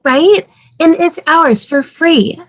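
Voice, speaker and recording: A woman acting as an eager young wife at a table read. Her voice is high and she talks persuasively, in an everyday conversational style. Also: expressive, slow pace, exaggerated voice.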